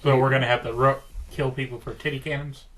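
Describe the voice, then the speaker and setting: A man co-hosting a podcast, casually telling a story pitch to friends in loose everyday speech. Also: little pitch movement, fast talker, a crowd in the background. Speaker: podcast co-host.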